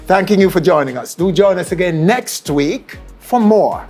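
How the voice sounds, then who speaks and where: Male TV interviewer, Jamaican accent, middle-aged, fairly high-pitched voice. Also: expressive, moderate pace, darkish tone, soft background music. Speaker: television interview host. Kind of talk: on-stage interview show hosting.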